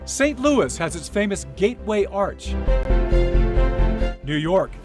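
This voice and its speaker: A man's voice: sits high, big pitch swings, slow pace, loud music behind the voice. A man narrating a scripted TV news feature as its reporter, telling it in a playful, dramatic way.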